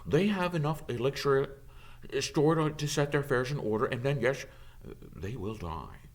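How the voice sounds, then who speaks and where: A man voicing a kindly, calm old wizard headmaster in an audiobook, musing and hesitating as he gently reassures. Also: medium pitch, normal speed, pitched above the performer's own voice, natural voice.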